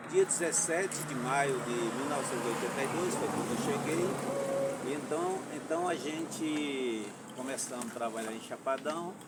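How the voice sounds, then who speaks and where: A man in his fifties from Alagoas, a former mayoral candidate, telling his story slowly and deliberately in a podcast interview, with halting and formal phrasing. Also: mid-range voice, recorded from a distance. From Alagoas, Brazil. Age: 50s. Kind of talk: podcast interview, personal account. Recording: voice from off-mic with clear background noise.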